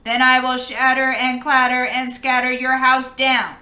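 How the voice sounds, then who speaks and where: A woman's voice: high-pitched, flat delivery, a little slow, bright, forceful tone, roomy sound. A woman voicing a menacing, taunting big bad robot that chants threats and bleeps in a children's storybook.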